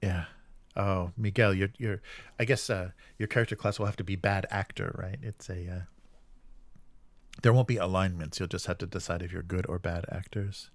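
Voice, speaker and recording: Low-voiced man, halting casual livestream host. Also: fairly even intonation, dry recording. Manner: halting, rambling, jokey.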